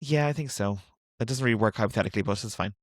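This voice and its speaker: A man's voice: fairly low pitch, racing, no echo, clean studio sound. A man hosting a casual interview, asking easygoing, playful questions. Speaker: podcast host.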